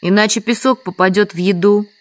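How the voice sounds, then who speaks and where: An actress playing a meek, apologetic and quietly stubborn village hostess in an audio drama. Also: female voice, medium pitch, steady tone, brisk, lightly acted. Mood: patient explaining. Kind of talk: Russian audio drama dialogue.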